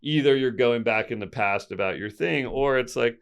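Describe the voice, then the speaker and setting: A man in his fifties with a deep voice, a podcast guest speaking candidly and reflectively, often pausing to restart his sentences. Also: smooth. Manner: reflective, open, with halting restarts. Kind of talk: candid conversational storytelling.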